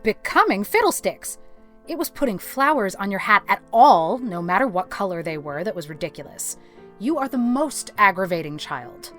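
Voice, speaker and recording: A woman playing a stern, practical older guardian in an audiobook drama, brisk, scolding and no-nonsense, yet caring underneath. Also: medium pitch, big pitch swings, fairly bright tone, soft background music. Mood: vexed scolding. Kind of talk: audiobook drama character acting.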